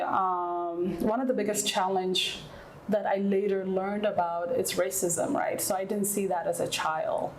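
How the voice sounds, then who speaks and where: A woman's voice: medium pitch, a little mellow, roomy sound, slight background noise, poor audio. A woman from Ethiopia and South Sudan, whose first language is Nuer, answering interview questions frankly and reflectively, often checking in with "right?". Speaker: guest.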